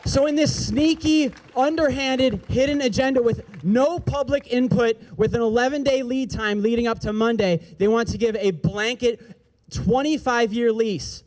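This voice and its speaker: High-voiced angry rally speaker, man. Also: normal speed, darkish tone. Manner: angry, emphatic, swearing, repeats slogans. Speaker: rally speaker, activist organizer. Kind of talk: impassioned rally speech. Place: outdoor street rally.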